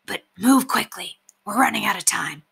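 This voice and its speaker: A woman voicing a gruff, impatient, sardonic male bandit chief who grumbles and commands in a storybook. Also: mid-range voice, animated, normal speed, fairly bright tone, full character voice. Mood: skeptical.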